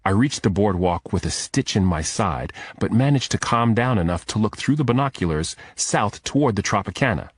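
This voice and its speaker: A man's voice: on the low side, lively intonation, normal speed, hardly any pauses, husky, dry recording, studio quality. A man reading scripted first-person thriller narration as an audiobook narrator.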